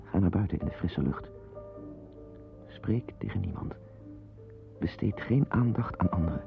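An actor playing a nervous, reclusive henpecked husband in a radio play, with a hesitant, apologetic, wry manner. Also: male voice, deep voice, animated, measured pace, gravelly, heavily acted. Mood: earnest pleading. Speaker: radio play narrator and lead. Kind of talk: radio drama monologue and dialogue. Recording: dull lo-fi radio play, music behind.

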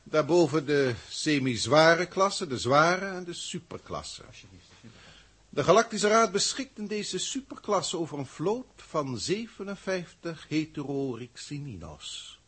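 An actor playing a lofty alien starship commander in a 1960s radio play, speaking formally and deliberately. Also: male voice, on the high side, animated, fairly bright tone, breathy edge, heavily acted. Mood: imperious. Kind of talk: 1960s Dutch science fiction radio play acting.

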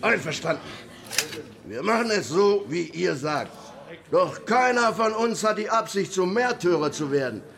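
An actor playing a belligerent robber turned rebel, blunt and coarse, in a German historical radio drama. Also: male voice, high-pitched, expressive, a little slow, vocal fry, a bit bright, heavily acted. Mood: grudging, defiant. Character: hot-headed, belligerent male robber-rebel spoiling for a fight.

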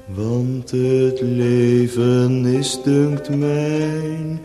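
A woman singing a mournful song in a radio play, slow and plaintive. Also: a bass voice, flat delivery, warm tone, heavily acted. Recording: sung over instrumental music.

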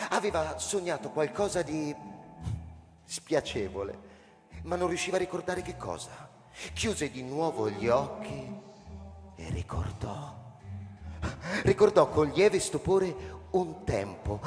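A man narrating a story dramatically and theatrically, moving between hushed and intense delivery, in a reverberant hall. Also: on the high side. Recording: reverberant hall with long echo.

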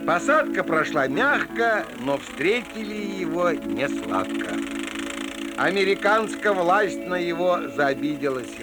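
High-voiced male storyteller, playful and theatrical, fairy-tale audio play, music underneath. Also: very animated, takes it slowly, exaggerated voice. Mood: wry. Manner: theatrical, playful, rustic folk-tale delivery. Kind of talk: scripted fairy-tale narration.

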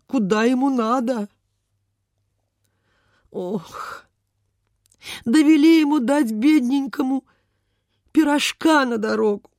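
A woman's voice: high voice, darkish tone, no echo, studio quality. A woman playing a kind-hearted, flustered, pious landowner lady in a radio play, sighing and fussing in a motherly way.

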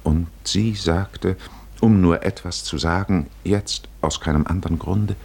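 A man narrating a literary 1950s radio drama in a solemn, measured manner with deliberate pauses. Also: deep voice, vocal fry, a little mellow, breathy edge.

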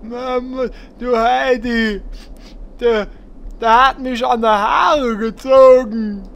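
High, slow adventurer, folksy, whiny, comic fantasy audio drama. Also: male voice, steady tone, gravelly, fairly bright tone, full character voice. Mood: whiny tattling. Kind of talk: German parody fantasy audio play acting.

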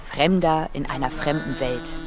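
A woman's voice: deep voice, fairly even intonation, a little slow, music in the background. An actress playing a young hippie mother from a commune, recounting matter-of-factly in a radio drama. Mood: reminiscing. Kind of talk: German radio drama monologue.

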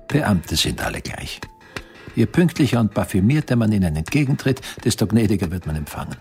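Cocky old-time vienna doctor in a radio play, low voice, lots of swing in the pitch, wry. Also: male voice, very animated, a little fast, dark tone, soft background music. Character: self-assured 18th-century Viennese physician, ambitious, fastidious, musical. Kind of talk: radio drama acting, interior monologue.

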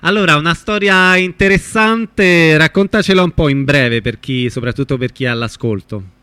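A man's voice: fairly high pitch, normal speed, pushed and bright, clean tone, dry recording. A man hosting a radio show in a conversational presenting style, introducing and questioning guests. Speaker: radio host.

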